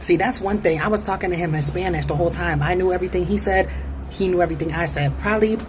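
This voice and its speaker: American woman, low-pitched voice, casual vlog chat, slangy and conversational, clearly noisy ambient background. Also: quick pace. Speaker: vlogger. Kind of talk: casual chatty vlog monologue.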